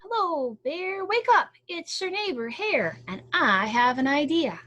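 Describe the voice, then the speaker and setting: A clever storybook hare, acted out by a woman, pitched high and eager. He talks like a persuasive little salesman, scheming and chipper. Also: very animated, unhurried, a bit bright, dull audio, full character voice.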